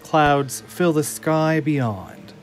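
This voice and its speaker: A man narrating the stage directions of an audio drama in a steady, descriptive reading. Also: a little high, extremely slow, faint music behind.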